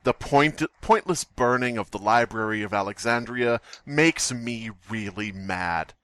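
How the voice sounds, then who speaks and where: A man's voice: medium pitch, a little slow, a bit bright, no room sound, studio quality. A man co-hosting a podcast, joking around and reading stories aloud in a wry, mock-outraged manner. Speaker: podcast co-host.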